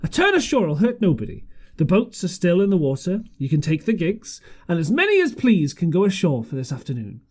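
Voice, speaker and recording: A man's voice: sits high, wildly swooping pitch, a little fast, darkish tone, pitched above the performer's own voice, exaggerated voice. A man voicing a grave, resolute sea captain in a storybook, firm and plain-spoken. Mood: addressing crew. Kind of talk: storybook character reading.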